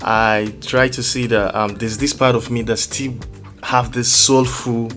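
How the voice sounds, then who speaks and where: A man's voice: mid-range voice, steady tone, unhurried, fairly bright tone. A man talking casually as a music producer being interviewed, hesitant and feeling for his words as he explains. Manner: hesitant, searching for words, roundabout phrasing.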